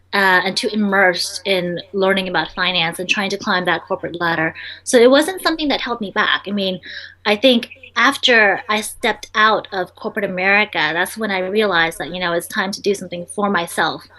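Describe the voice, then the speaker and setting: A woman's voice: mid-range voice, sharp tone, low-quality recording. Chatty woman entrepreneur with many ums. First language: Vietnamese. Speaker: interview guest, entrepreneur. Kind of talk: casual interview answers.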